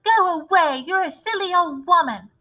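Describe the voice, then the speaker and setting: A woman voicing a prim, easily affronted mother in a storybook, shrill and indignant. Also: animated, moderate pace, sharp tone, exaggerated voice. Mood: indignant, dismissive. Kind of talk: storybook read-aloud character voice.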